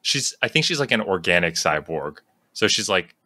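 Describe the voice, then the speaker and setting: A man's voice: low-pitched, big pitch swings, moderate pace, pushed and bright, no echo. A man from Long Island with a matching accent, hosting a podcast in playful, joking casual chat. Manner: joking, playful, laughs often. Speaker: podcast host.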